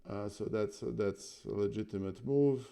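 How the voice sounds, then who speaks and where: Deep gravelly man thinking aloud. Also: normal speed, dark tone. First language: Serbian. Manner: thinking aloud, hesitant with fillers. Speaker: streamer learning chess. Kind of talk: casual chess analysis on stream.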